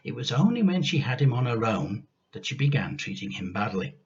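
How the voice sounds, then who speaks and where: A man reading a children's storybook aloud like a teacher, with expressive, deliberate and emphatic delivery. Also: mid-range voice, dull audio.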